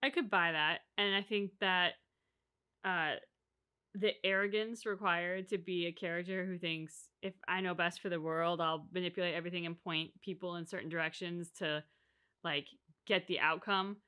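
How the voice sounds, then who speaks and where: A woman's voice: fairly low pitch, fairly even intonation, normal speed, pushed and bright, smooth. A woman co-hosting a reaction channel, giving her opinions in casual, analytical conversation.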